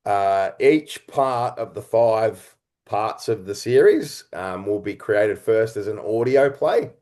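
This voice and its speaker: A man speaking as an interview guest, a writer and creator talking reflectively and expressively in halting, conversational phrases. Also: fairly low pitch, lively intonation, darkish tone.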